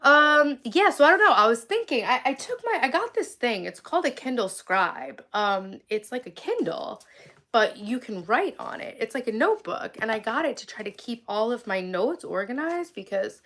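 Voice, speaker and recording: A woman in her forties from Long Island, chatting on a live stream outdoors under a tree. She talks in a rambling way with lots of "like", has vocal fry, and sounds sniffly from a cold. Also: a little high, big pitch swings, moderate pace, sharp tone. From Long Island, New York. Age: 40s. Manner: rambling, heavy use of "like". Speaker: livestream vlogger. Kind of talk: casual live stream chat with viewers.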